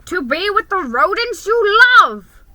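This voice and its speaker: A woman voicing a bossy old grandfather mouse in a storybook, loud, emphatic and blustering. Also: very high-pitched, big pitch swings, measured pace, dry recording, voice pushed up, heavily acted. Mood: thundering, scolding. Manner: thundering, emphatic.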